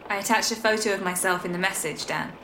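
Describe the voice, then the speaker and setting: A woman's voice: mid-range voice, quick pace, lightly acted. An actress playing a cool, guarded young woman in a naturalistic audio drama. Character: cool, guarded young woman who faked her death. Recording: echoing, with clear background ambience noise.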